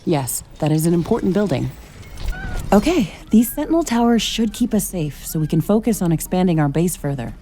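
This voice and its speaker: An actress playing a thoughtful, principled scientist-commando in a video game, speaking in a calm, articulate, wry way over music. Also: female voice, on the low side, a little fast. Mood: calm, focused. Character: thoughtful, principled female scientist-commando, wryly teasing, mech pilot. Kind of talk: scripted video game voice acting.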